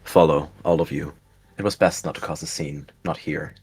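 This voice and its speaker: Man playing a stern, low-voiced warrior cat mentor. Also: steady tone, moderate pace, darkish tone, breathy edge, soft background music, heavily acted. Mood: stern, guarded. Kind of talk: fantasy roleplay dialogue.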